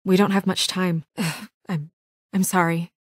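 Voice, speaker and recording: A woman playing a composed but anxious, dutiful young office administrator in a naturalistic video game drama, speaking in a measured, guarded, earnest way. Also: on the low side, fairly even intonation, breathy edge, dry recording, clean studio sound. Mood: businesslike, uneasy.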